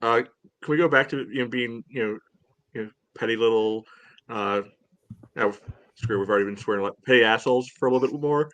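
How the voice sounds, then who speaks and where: A man's voice: mid-range voice, quick pace, fairly bright tone, no echo, highs cut off. A man co-hosting a casual soccer podcast, blunt and sweary, often padding his sentences with "you know". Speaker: sports podcast co-host. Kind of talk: casual fan chat about soccer.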